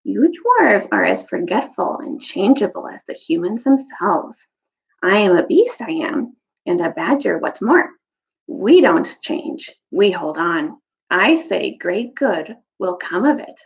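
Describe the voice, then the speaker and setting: A woman's voice: mid-range voice, sing-song, slow, creaky, muffled recording, heavily acted. A woman voicing a loyal, earnest old storybook badger who speaks emphatically and insistently.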